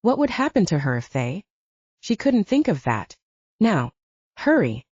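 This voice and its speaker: A woman's voice: mid-range voice, sing-song, a little slow, a little mellow, slightly rough, no room sound. A woman narrating fiction as a scripted, dramatic audiobook reading. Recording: slightly dull, dry close recording.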